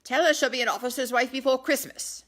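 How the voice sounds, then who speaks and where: A woman voicing an exuberant, tipsy young English gentleman in an audiobook, loud and emphatic. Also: high-pitched, quick pace, pitched above the performer's own voice. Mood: determined.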